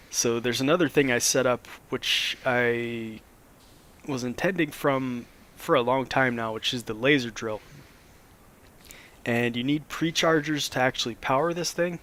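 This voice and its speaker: Man, slightly scratchy voice, relaxed game commentary, rambling with fillers, mid pitch. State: slightly hoarse after a cold. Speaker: Minecraft let's play host.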